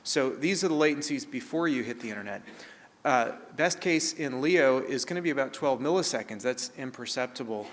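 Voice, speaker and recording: A man with a New Zealand accent giving a brisk technical conference talk, with frequent "uh" fillers. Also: medium pitch, animated, fairly bright tone. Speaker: conference speaker on satellite access. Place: large conference hall. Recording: reverberant hall, faint ambient noise.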